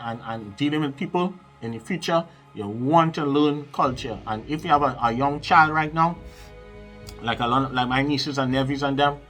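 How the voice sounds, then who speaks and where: A middle-aged man talks straight into the camera from a small room at home, with a bright, forceful tone. His delivery is emphatic and preachy, full of repetitions and direct appeals to the viewer. Faint music sits quietly under his voice. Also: mid-range voice. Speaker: psychic advisor and channel host. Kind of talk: casual advice monologue to camera.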